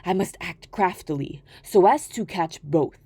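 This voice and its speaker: Storybook villain: crafty male wolf, played by a woman, pitched down, coaxing, scheming, greedy for a meal. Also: animated, a little slow, mellow, husky, no room sound, heavily acted. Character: crafty, hungry male wolf, smooth-talking and wicked.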